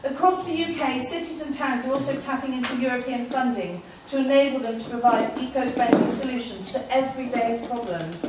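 A woman's voice: high-pitched, brisk, hardly any pauses, heavy background noise. A woman, a parliamentary candidate, reading a prepared opening statement at a public meeting, a little hesitant at times. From England. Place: meeting room with audience. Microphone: far room camera. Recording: echoey room from far off.

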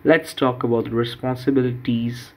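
A man giving an explanatory slide lecture, speaking haltingly with frequent pauses and repeated words. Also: medium pitch, fairly even intonation.

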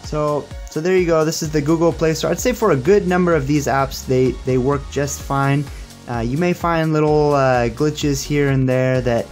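A man's voice: a little high, moderate pace, darkish tone. Casual young man presenting over music. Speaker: tech reviewer and presenter. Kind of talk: casual hands-on demo and tutorial.

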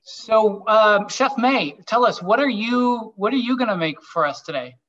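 A man's voice: sits high, animated, unhurried, a bit bright, far from the mic, a little hiss or hum. A man in his thirties hosting a cooking demo over a video call. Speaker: host. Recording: dull lo-fi video call audio.

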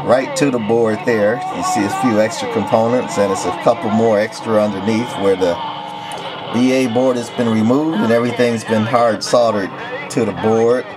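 A man with a lowish voice talks through a piece of equipment. His phrases are short and matter-of-fact, like a casual seller's demonstration. Faint sound plays behind him, picked up along with him indoors. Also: moderate pace, over loud music, poor audio. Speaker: radio seller showing equipment. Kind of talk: casual product walk-through.

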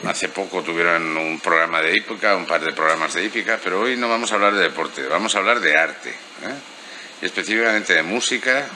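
A man's voice: deep voice, fairly quick, slight background noise. A middle-aged man hosting a casual interview in a lounge bar, chatting easily with a handheld mic. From Spain. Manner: relaxed, chatty, with fillers. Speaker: host.

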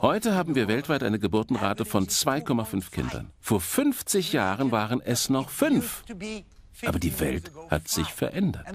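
A man presenting a documentary lecture in an emphatic, explanatory manner with dramatic pauses. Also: medium pitch. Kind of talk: animated documentary lecture to an audience.

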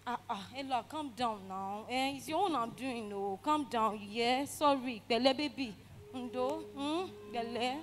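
A woman's voice: fairly high pitch, measured pace, a little hiss or hum, lightly acted. A young woman with a Nigerian accent playing an anxious, caring friend in a drama, pleading and repeating herself with frequent exclamations. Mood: pleading, coaxing. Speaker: actor in a dramatic skit. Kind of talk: emotional dialogue acting with some Pidgin.

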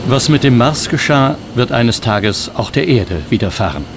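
A man reading scripted documentary narration in a measured, explanatory manner. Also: mid-range voice, animated, noisy background. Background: background music.

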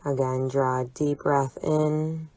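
A woman leading a guided imagery relaxation exercise, speaking in a soothing, deliberate, gently instructive way. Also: a bass voice, flat delivery, dry recording. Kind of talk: guided imagery meditation narration.

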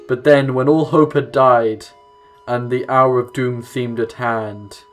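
A man's voice: medium pitch, steady tone, takes it slowly. Young guy doing a gaming playthrough, offhand casual delivery, plucked guitar music under him. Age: young adult. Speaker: let's play host. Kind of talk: gaming commentary, reading game text aloud. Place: small room at home, indoors. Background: game music with plucked guitar.

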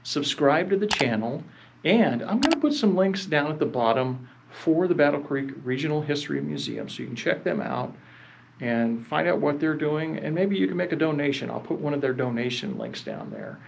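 A man's voice: fairly high pitch, big pitch swings, normal speed, creaky, a little hiss or hum. A middle-aged man closing out his video, talking directly to camera in a friendly way. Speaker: video host. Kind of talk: channel outro. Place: small indoor room.

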